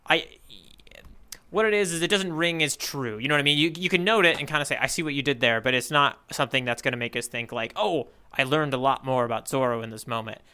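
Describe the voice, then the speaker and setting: A man's voice: medium pitch, fast talker, pushed and bright, smooth. A man co-hosting a podcast, chatting casually in a thoughtful, analytical way. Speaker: podcast co-host. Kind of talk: casual manga discussion chat.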